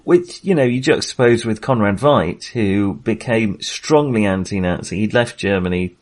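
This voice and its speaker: A man's voice: lowish voice, moderate pace, a little mellow. A man in a casual film discussion, hesitantly searching for his words and often rephrasing as he goes. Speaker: film podcast host.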